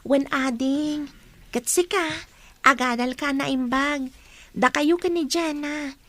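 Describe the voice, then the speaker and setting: A woman's voice: sits high, slow pace, fairly bright tone. A woman playing a dutiful, grateful teenage daughter in a radio drama, speaking earnestly and tenderly. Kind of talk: Ilocano radio drama acting.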